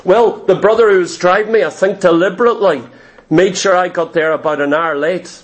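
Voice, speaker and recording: A man's voice: high-pitched, steady tone, fairly quick. A middle-aged Irish man preaching a personal testimony, telling his story earnestly in short, clipped phrases and often repeating himself. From Ireland.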